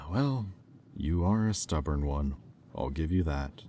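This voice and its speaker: A man playing a smug, predatory demon in a roleplay audio drama, teasing and taunting in a silky manner with deliberate pauses. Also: very deep voice, animated, warm tone, slight background noise, exaggerated voice. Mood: smug, amused. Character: smug, predatory male demon toying with a captive angel.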